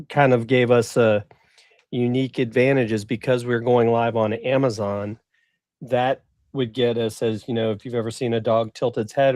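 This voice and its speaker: A man chatting casually as a panel guest, thinking aloud in a measured way. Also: mid-range voice, darkish tone, no echo, studio quality. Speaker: panel guest, show host. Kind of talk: casual chat.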